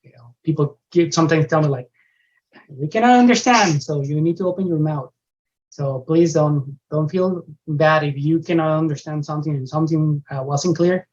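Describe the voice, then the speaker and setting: A man's voice: fairly high pitch, moderate pace, a little mellow. A man with a strong Mexican accent, a Spanish speaker, earnestly addressing parents at an orientation over a video call, repeating himself often. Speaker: parish religious education coordinator. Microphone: video call microphone. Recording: dull lo-fi video call audio.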